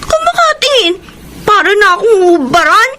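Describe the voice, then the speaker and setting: A feisty young Filipino woman in a radio drama. She is wary and quick to bristle, with a very high, animated voice over faint background noise. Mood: troubled, curious. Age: young adult. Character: feisty, wary young female college student.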